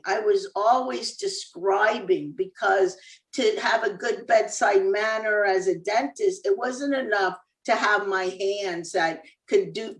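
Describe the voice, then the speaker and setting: A woman's voice: medium pitch, echoey room, far from the mic, rough recording. A woman from Cuba, an author and former dentist, talking casually as an interview guest with easy laughs and many fillers.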